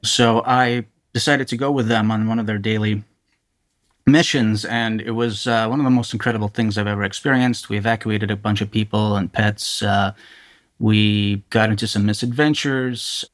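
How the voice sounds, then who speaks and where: Male reporter as interviewee, lowish pitch, candid eyewitness storytelling with a halting delivery. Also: fairly even intonation. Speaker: journalist being interviewed. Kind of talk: candid first-person interview account.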